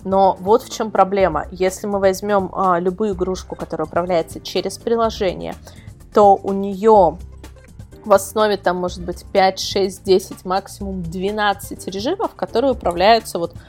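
A woman's voice: medium pitch, fairly even intonation, normal speed, a little mellow, faint music behind. A woman speaking as a guest expert in a casual podcast interview, chatty and colloquial as she explains things. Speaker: guest expert, portal editor. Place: remote video call.

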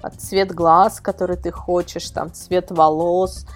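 A woman's voice: on the low side, flat delivery, slow pace, faint music behind. A woman speaking as an expert guest on a casual podcast, explaining things in a chatty way. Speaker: guest, magazine-style portal editor. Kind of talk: casual podcast interview answers.

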